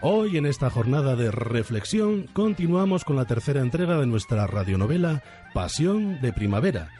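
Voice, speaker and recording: Animated, theatrical male radio host. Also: mid-range voice, normal speed. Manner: theatrical, tongue-in-cheek. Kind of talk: mock-dramatic radio presenting. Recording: faint music under the voice. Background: music.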